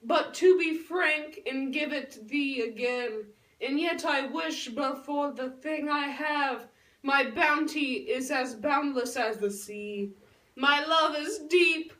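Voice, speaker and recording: A woman playing a lovestruck, earnest young noble girl in a puppet show, speaking Shakespearean verse in a theatrical, drawn-out way. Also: sits high, a bit bright. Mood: devoted. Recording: roomy echo, distant microphone.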